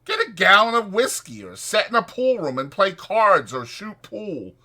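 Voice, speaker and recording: A man's voice: high-pitched, sing-song, moderate pace, sharp tone, voice pushed up, exaggerated voice. A man voicing a short-tempered, exasperated migrant ranch worker, scolding in slangy rural American working talk. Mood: furious ranting.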